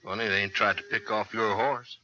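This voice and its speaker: A man's voice: fairly low pitch, fairly even intonation, brisk, bright, forceful tone, a little hiss or hum. An American man acting a cocky, shifty captured outlaw in a 1950s radio western, with a folksy, insolent drawl. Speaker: radio actor. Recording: dull vintage radio recording with music.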